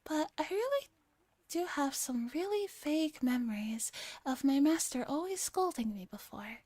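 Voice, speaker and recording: A woman's voice: high voice, animated, normal speed, a little mellow, dry recording. A woman voicing a clumsy, boastful young robot maid from a visual novel, making solemn, over-dramatic declarations. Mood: stubborn, earnest.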